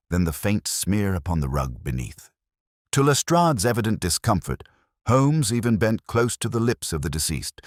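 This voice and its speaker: A man reading a period detective story as its narrator, in a measured, formal, literary manner. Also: deep voice, no room sound, studio quality. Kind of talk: scripted audiobook narration of a Victorian detective story.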